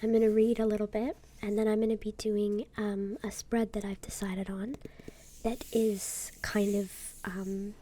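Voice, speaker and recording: Softly whispering woman, mellow tone. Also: mid-range voice, flat delivery. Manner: soft whispering, gentle and unhurried. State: sick, occasional throat clearing. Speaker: YouTuber. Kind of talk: whispered ASMR tarot deck unboxing.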